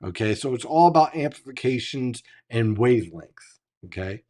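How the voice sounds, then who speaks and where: Man, weather host, explanatory, live chat, voice turning hoarse. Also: medium pitch, lively intonation, moderate pace, mellow. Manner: explanatory, teacherly, asks "right?" often. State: losing his voice. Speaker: meteorologist hosting a live chat.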